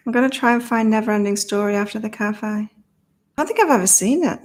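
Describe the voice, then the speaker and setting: A woman hosts a relaxed online reading session with a slight morning croak in her voice. She chats, laughs often and reads viewers' comments aloud before turning to the cards. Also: mid-range voice, quick pace. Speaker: psychic reader and channel host. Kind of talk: casual livestream chat with card readings.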